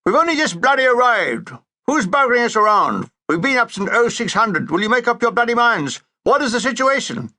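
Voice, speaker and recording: A man's voice: very high-pitched, sing-song, a little fast, bright, forceful tone, pitched above the performer's own voice, exaggerated voice. A man voicing a blustering, bossy, hard-drinking British army major in a comic audiobook, with a pompous officer's bark. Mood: exasperated, shouting.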